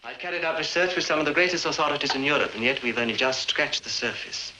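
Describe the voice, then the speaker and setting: A man's voice: a little high, fast talker, noisy background, natural voice. An actor playing a learned male scholar of vampires in a radio drama, lecturing with authority. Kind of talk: period radio drama acting.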